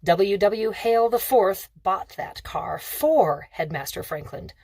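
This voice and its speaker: A woman's voice: mid-range voice, lively intonation, slow pace, gravelly, darkish tone, dry recording, full character voice. A woman reading the part of a smug, teasing sixteen-year-old rich boy in a storybook, breezy and self-assured.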